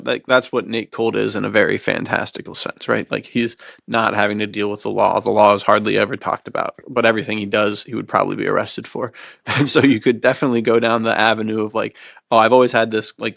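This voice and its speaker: Gravelly, low-voiced man chatting casually. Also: a bit bright, no echo, clean studio sound. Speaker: podcast host. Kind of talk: casual podcast chat.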